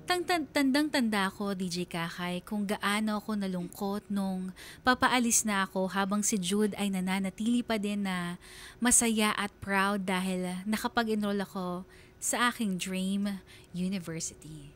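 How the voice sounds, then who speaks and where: A woman's voice: medium pitch, a little slow, fairly bright tone, a little hiss or hum. A woman reading a scripted first-person radio drama narration in a confiding, sentimental manner.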